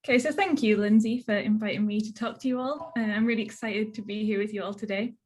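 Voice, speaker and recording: A woman's voice: mid-range voice, fairly even intonation, fast, a little mellow. A young woman from northeast England with a Northeast English accent, giving a research talk over a video call, chatty and plain-spoken. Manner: chatty, plain explanations with frequent "so" and "um".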